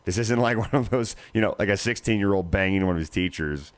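A man co-hosting a casual podcast, joking and animated. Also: lowish voice, fast, no echo. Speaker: podcast co-host. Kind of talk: casual chat.

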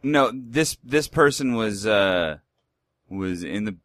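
A man talks casually about music, hesitating and restarting often. His voice is bright and forward. The sound is dry and close. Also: fairly low pitch, animated, slow, dry recording. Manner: hesitant, many fillers and restarts.

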